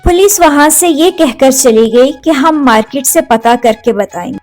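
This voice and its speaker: A woman narrates a children's cartoon tale in a high voice. Her delivery is scripted storytelling, with faint music behind it. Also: lively intonation, moderate pace, darkish tone.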